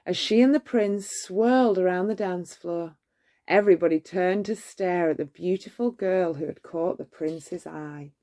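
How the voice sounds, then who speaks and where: A woman narrating a children's bedtime story in a measured, expressive storytelling manner. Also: lowish voice. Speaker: storybook reader.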